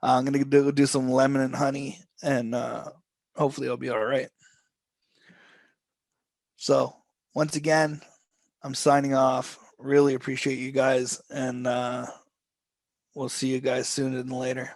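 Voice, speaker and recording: Hoarse man slowly teaching chart trading. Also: medium pitch, flat delivery, a little mellow, no echo. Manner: loose, rambling, with many restarts and throat clearing. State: losing his voice, hoarse. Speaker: trading instructor. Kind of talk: live trading tutorial.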